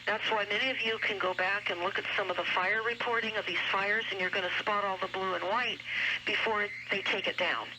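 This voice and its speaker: A woman giving an earnest, emphatic explanatory talk on a shortwave radio broadcast, speaking deliberately. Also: medium pitch, fairly even intonation. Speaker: radio show guest commentator. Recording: noisy shortwave radio broadcast, heavy static. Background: loud radio static and noise.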